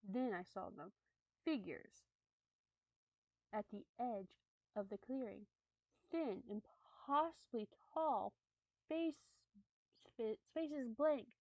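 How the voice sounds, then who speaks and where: Slow, dry-voiced woman streamer. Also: a little high, dry recording, highs cut off. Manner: halting reading with stumbles and swearing; laughs and chats with viewers between readings. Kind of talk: casual chat and dramatic horror reading.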